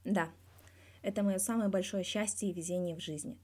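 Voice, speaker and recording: A woman's voice: mid-range voice, fairly even intonation, a little fast, a little mellow, slightly rough, close to an everyday voice. A woman voicing a candid, wry young male star athlete giving a guarded but blunt interview in a story. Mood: fond. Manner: guarded yet blunt, dryly teasing, swears freely.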